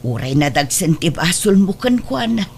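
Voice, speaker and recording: A woman's voice: on the low side, sing-song, normal speed, vocal fry, a little mellow, slight background noise. A woman playing a doting, forgiving older mother and grandmother in an audio drama, speaking in a reassuring, motherly way. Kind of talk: melodramatic audio drama acting.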